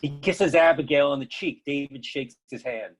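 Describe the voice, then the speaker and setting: Male narrator for a play reading, slightly high voice, scripted stage directions. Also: moderate pace.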